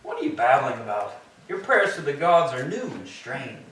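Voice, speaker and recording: A man's voice: mid-range voice, sing-song, normal speed, a bit bright. Very echoey distant recording, male actor as ancient Greek king, vengeful, commanding, declamatory, staged tragedy reading. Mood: scornful. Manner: declamatory, imperious. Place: church, live staged reading. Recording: echoey church, distant mic, faint noise.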